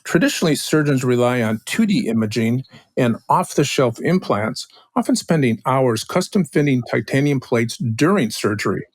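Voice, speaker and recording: A man's voice: mid-range voice, lively intonation, normal speed, no room sound. A male podcast host introducing a guest and asking friendly, upbeat questions with fillers. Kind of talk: podcast interview.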